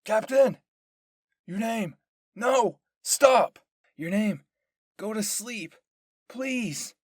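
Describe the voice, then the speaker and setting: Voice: medium pitch, animated, extremely slow, dry recording, studio quality, pitched above the performer's own voice. A performer voicing an anguished teenage boy soldier in an anime roleplay, pleading urgently and protectively. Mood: frantic.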